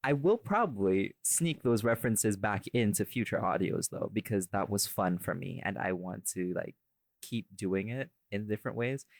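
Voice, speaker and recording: A man's voice: fairly low pitch, animated, normal speed, creaky, no echo. A man hosting a casual behind-the-scenes chat about his audio work, self-deprecating and jokey, with some swearing. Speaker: podcast host, audio creator and voice actor.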